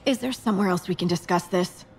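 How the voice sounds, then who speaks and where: An actress playing a skeptical, businesslike official in a video game, with a dry manner. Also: female voice, lowish voice, fast talker, darkish tone, slight background noise, lightly acted.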